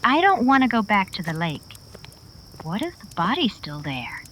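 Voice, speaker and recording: A woman playing an uneasy but willing frontier girl with an anxious, hushed manner in a Western radio drama. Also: mid-range voice, sing-song, moderate pace, a little hiss or hum, rough recording. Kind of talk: old-time radio Western acting.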